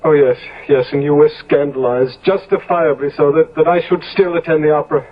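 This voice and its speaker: An actor playing a desperate, guilty young gentleman in a 1970s radio drama, with stammering, theatrical, pleading delivery. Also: a little high, steady tone, fairly quick, natural voice. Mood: agitated confessing. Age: young adult. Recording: dull, lo-fi old radio recording.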